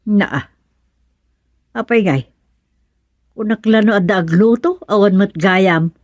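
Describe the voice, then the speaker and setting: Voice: medium pitch, takes it slowly, dry recording, lightly acted. A performer playing a matter-of-fact, complaining mother in an Ilocano radio comedy, with an Ilocano accent. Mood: grumbling. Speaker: actor in radio comedy drama. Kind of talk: Filipino radio drama comedy acting.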